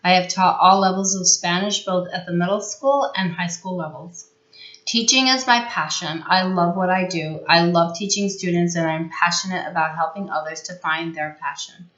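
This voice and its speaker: A woman's voice: lowish voice, normal speed, a bit bright. Woman reading earnestly in an echoey room. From New York State, US. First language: Italian. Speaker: high school Spanish teacher. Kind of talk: prepared award acceptance statement. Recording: echoey room, dull lo-fi sound.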